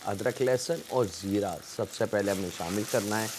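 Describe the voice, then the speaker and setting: A man's voice: on the low side, normal speed, noisy background. A man with a Pakistani Urdu accent hosting a cooking show, politely addressing viewers as he explains each step of the recipe.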